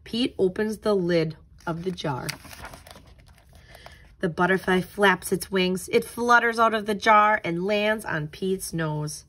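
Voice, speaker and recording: A woman's voice: mid-range voice, slow, fairly bright tone, slight background noise. A woman tutor reading aloud and giving simple step-by-step instruction for young children. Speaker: tutor reading a picture book and leading a craft.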